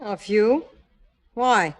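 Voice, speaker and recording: A woman's voice: medium pitch, expressive, very slow, dry recording. An American woman playing a hard, cunning young femme fatale with a cool, offhand, taunting manner, in an old-time radio crime drama. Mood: casual, guarded.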